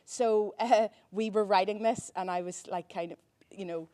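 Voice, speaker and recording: A woman's voice: mid-range voice, steady tone, normal speed, mellow. A woman with an Irish accent, a screenwriter on a festival panel, speaking hesitantly with many ums and restarts. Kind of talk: panel discussion.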